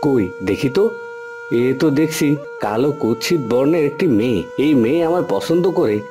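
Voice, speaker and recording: A man's voice: mid-range voice, expressive, normal speed, over music, natural voice. An actor playing a vain, contemptuous young village man in a fairy-tale cartoon, boastful and disdainful. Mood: disgusted.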